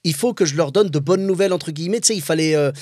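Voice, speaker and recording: A man's voice: a little high, racing, a little mellow, no echo, studio quality. A man in his forties chatting as a guest, explaining things animatedly with many restarts. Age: 40s. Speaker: guest comedian. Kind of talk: casual chat.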